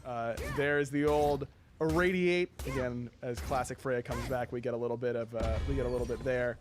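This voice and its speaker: A man hosting a casual video game showcase, talking in an upbeat, enthusiastic and halting way with music behind him. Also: mid-range voice, fairly even intonation. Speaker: livestream host.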